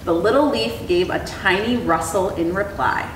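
A young woman reading a children's storybook aloud in an expressive, educator's style. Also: medium pitch, normal speed, noisy background. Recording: echoey room, clear background ambience.

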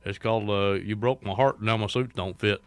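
A man's voice: fairly low pitch, fairly even intonation, a little fast, no echo, natural voice. A man playing a bumbling, eager, aspiring country singer with a hesitant, rambling manner. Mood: proud, eager.

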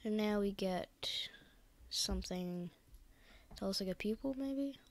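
A teenage girl with a croaky voice from being sick, casually narrating a game build tutorial with rambling, hesitant self-corrections. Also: mid-range voice, unhurried, darkish tone. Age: teens. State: croaky from illness.

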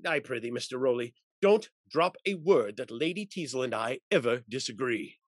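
A man's voice: medium pitch, animated, normal speed, no room sound, clean studio sound, close to an everyday voice. A man in his fifties or sixties playing an exasperated, doting old husband in a period stage comedy, theatrical and sputtering. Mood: fretful. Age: 50s to 60s. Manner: blustering, theatrical, exclamatory, sputtering. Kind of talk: period comedy stage acting over video call. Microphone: video call.

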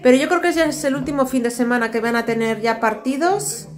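A woman's voice: sits high, fairly quick, crowd noise. A woman in her thirties, a native Basque speaker with a Basque accent, chatting wearily and rambling into her phone camera in a home kitchen. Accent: Basque Spanish. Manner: chatty, rambling, weary asides. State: tired. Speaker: family vlogger. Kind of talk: casual vlog chat. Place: tiled home kitchen, indoors. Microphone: phone camera at arm's length. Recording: echoey tiled kitchen.

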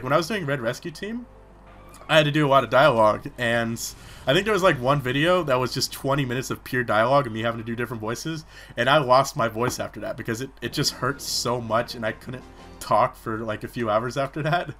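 A man's voice: mid-range voice, brisk, a bit bright, soft background music. A young man giving casual, rambling let's-play commentary, chatting between battles with a sore throat. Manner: rambling, chatty, with many fillers. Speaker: gaming commentator.

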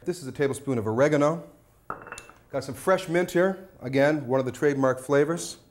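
A man's voice: on the high side, fairly quick. A man in his thirties hosting a cooking demonstration, breezy and upbeat as he talks straight to viewers. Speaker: cooking show host. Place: studio kitchen set.

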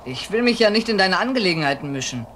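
A lively, folksy wagon driver. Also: male voice, high-pitched, fast talker, a little hiss or hum. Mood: easygoing. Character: folksy, good-natured Siberian wagon driver. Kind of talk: German audio drama acting.

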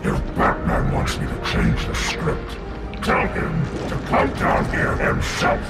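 A man playing a vengeful, theatrical shape-shifting clay monster who talks grandly and shouts, in a game cutscene. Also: takes it slowly, far from the mic, lightly acted. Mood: menacing. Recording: echoey game cutscene with loud music.

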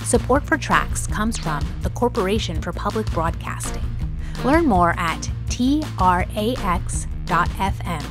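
A woman's voice: mid-range voice, animated, unhurried, music in the background. A woman reading scripted end credits as the director of an audio drama.

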